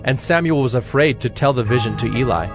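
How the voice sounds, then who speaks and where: A man narrating scripture from a script in a measured, formal storytelling manner. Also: medium pitch, over music.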